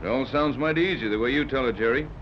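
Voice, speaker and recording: A man plays a brisk, doubting yacht captain in an old radio adventure. He talks fast in a medium-pitched male voice, wry and commanding. The 1930s recording is dull with some noise. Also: natural voice. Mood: skeptical. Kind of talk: 1930s radio serial acting. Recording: muffled, hissy 1930s radio transcription.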